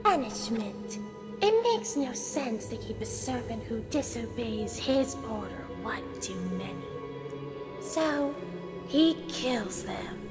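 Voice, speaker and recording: A mysterious female echidna zealot acted by a woman for a comic dub. She speaks slowly and haughtily with cold conviction, over loud music. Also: a little high, sing-song. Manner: haughty, deliberate. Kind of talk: scripted comic dub acting.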